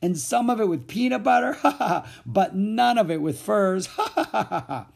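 A man voicing a big, good-humored truck driver in a storybook, with a slow, drawn-out delivery. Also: fairly high pitch, lively intonation, gravelly, mellow, breathy edge, heavily acted. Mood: gleeful, laughing.

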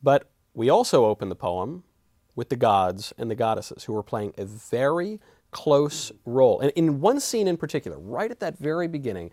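A man hosting a conversational book discussion, inquisitive and a little halting as he frames his points. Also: medium pitch, big pitch swings, a little mellow, dry recording, clean studio sound. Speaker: interview host.

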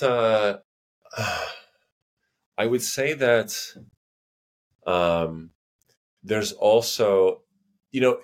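An American man chatting casually as a podcast guest, rambling and filling his sentences with "you know" and restarts. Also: on the low side. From United States. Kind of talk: casual conversation.

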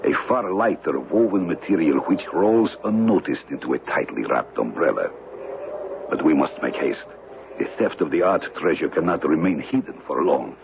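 A man's voice: rumbling low, lively intonation, moderate pace. An actor playing a suave, scheming villain with a foreign accent, choosing his words with courtly precision in an old radio drama. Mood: quietly menacing. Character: suave, scheming foreign villain who deals in stolen art. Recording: muffled, noisy old radio broadcast.

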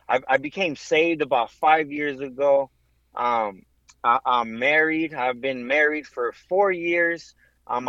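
Halting soft-spoken man in his thirties. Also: medium pitch, dry recording, dull audio. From Southern California. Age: 30s. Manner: halting, many ums and restarts. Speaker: podcast guest. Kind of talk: casual faith testimony.

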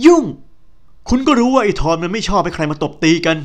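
A stern young man who firmly scolds a bully and mocks her, as a man plays him. His voice sits high and he talks fast. He sounds firm and biting in an audiobook romance. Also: sing-song. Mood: scolding.

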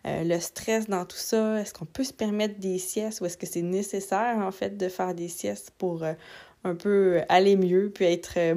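A woman's voice: medium pitch, warm tone. Chatty Québécois woman podcast host. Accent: Québécois French. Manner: friendly and conversational, with fillers. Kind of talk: casual podcast interview.

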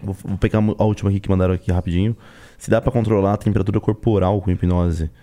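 A man's voice: sits low, fairly even intonation, fast talker, warm tone. A man with a Brazilian accent hosting a casual podcast, reacting briefly and asking questions in an informal way. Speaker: podcast host. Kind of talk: casual interview chat.

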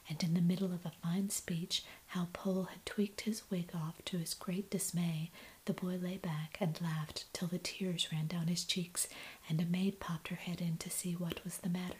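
A woman reading a classic novel aloud in a soft, unvoiced ASMR whisper. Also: on the low side, rough recording. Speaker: audiobook reader.

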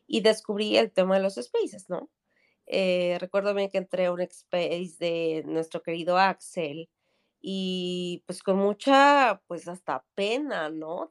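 A woman in her thirties from Mexico City with a Mexico City Spanish accent, a podcast guest chatting casually with many fillers and tag questions. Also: medium pitch. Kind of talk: casual chat.

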